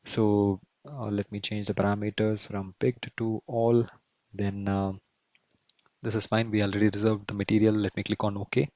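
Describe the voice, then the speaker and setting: Low-voiced man giving a software tutorial, dry sound. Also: flat delivery, moderate pace, no echo. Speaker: software instructor. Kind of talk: screen-share tutorial, explaining step by step.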